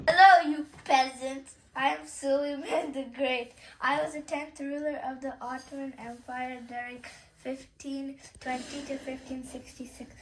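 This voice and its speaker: A woman's voice: sits high, steady tone, unhurried. A young woman reciting a scripted history presentation in character as a ruler, in a declamatory way. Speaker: student presenter playing a sultan. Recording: echoey room with background noise.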